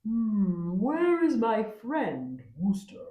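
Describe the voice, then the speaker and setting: A woman's voice: on the high side, very animated, drawn-out words, reverberant, narrow, tinny audio, pitched above the performer's own voice, exaggerated voice. A woman voicing a proud, gullible male leopard with a grand, emphatic manner in a folktale. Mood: puzzled. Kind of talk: folktale storytelling.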